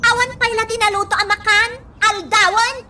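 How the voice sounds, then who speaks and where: A woman playing a cheeky, nagging young house helper with a squeaky voice and an Ilocano accent in a radio drama. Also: fairly even intonation, normal speed, bright, forceful tone, slight background noise, exaggerated voice. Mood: nagging, impatient.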